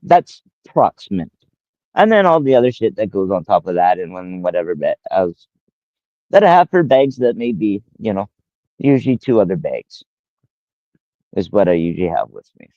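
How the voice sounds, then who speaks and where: A man's voice: low voice, sing-song, normal speed, dry recording. Man, 40s, rambling livestreamer who repeats himself; dead dry, muffled lo-fi sound. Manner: rambling, addresses a viewer by name, repeats himself. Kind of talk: casual livestream talk.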